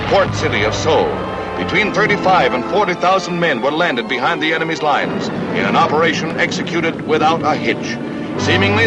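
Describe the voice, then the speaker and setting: An American man delivers a vintage 1950 newsreel narration over prominent music. His voice sits fairly high and moves with very animated intonation. The reading is scripted, grave and declamatory, with a strong sense of drama. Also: rough recording.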